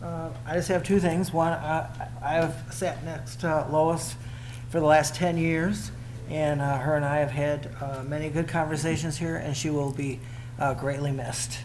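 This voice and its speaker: A man speaking as city commissioner in a plain, halting way. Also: on the high side, flat delivery. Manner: plain, halting, many uh fillers. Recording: noisy meeting room recording.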